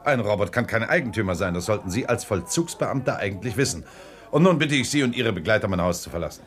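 An actor playing a composed, precise lawyer and political candidate in a radio drama, speaking calmly and politely. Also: male voice, lowish voice, fast talker. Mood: cool. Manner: calm, precise, coolly polite. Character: suave composed male lawyer-politician suspected robot. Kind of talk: 1960s-70s radio drama acting.

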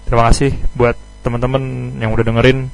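A man's voice: medium pitch, little pitch movement, fast, poor audio. A man hosting a casual radio interview. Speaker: radio host.

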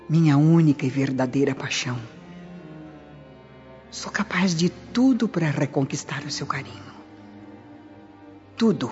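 An actress playing an obsessive, ruthless Roman woman in love, with an intense, breathy delivery in a radio drama. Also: rumbling low, a little mellow. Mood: obsessive longing. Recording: dull lo-fi radio drama, music behind.

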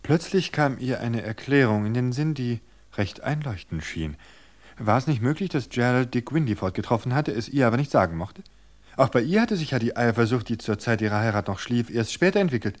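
A man narrating a novel in a measured storytelling manner, slowing for suspense. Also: medium pitch, lively intonation.

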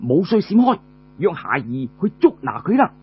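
A man's voice: on the high side, big pitch swings, normal speed, breathy edge, highs cut off, exaggerated voice. A man voicing an earnest young male warrior who muses to himself in an audiobook tale. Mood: eager.

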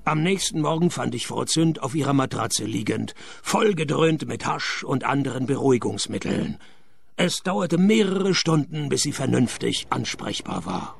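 An actor playing a sardonic private detective who narrates a radio play in a dry, deadpan, mocking tone. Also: male voice, medium pitch, moderate pace, creaky, husky, no room sound, full character voice. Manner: deadpan, sarcastic.

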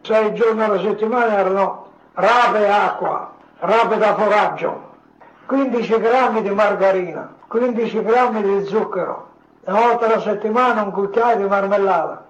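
An elderly man, a grandfather, informally recounting his memories as a veteran in short, halting phrases. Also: sits high, echoey room, lo-fi sound. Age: older, a grandfather. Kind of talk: informal spoken reminiscence.

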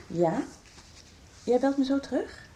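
Radio drama role: woman, calm organiser in a crisis, slow, short instructions, noisy recording. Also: high voice. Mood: calm urgency. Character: brisk, calming woman arranging emergency admission by phone.

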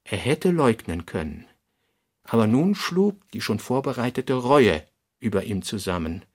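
A man reading scripted literary narration for a radio play in a measured, deliberate manner. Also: mid-range voice, big pitch swings, darkish tone, no echo, studio quality.

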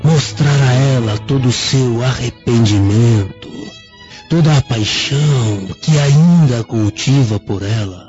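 A man's voice: medium pitch, slow, darkish tone, natural voice. A man playing a frank, concerned Roman friend in a radio drama, earnest and theatrical. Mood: urging, concerned. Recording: dull lo-fi audio with faint music.

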